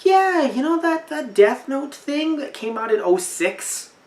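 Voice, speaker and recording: A man's voice: very high-pitched, very animated, a little slow, a crowd in the background. A young man podcast host chatting casually about anime in a rambling, incredulous way, often repeating himself. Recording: roomy echo, distant from mic.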